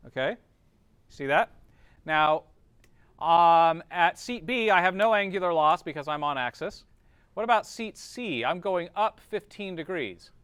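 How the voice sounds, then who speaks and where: A man's voice: high voice, lively intonation, measured pace, fairly bright tone, clear, resonant tone, no echo. A man teaching a class informally, explaining step by step and often checking understanding with short tag questions.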